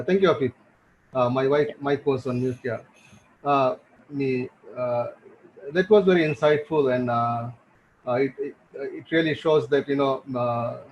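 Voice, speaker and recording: A man from the Maldives moderating a webinar, speaking haltingly with many fillers. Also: mid-range voice. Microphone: video call. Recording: muffled video call with faint noise.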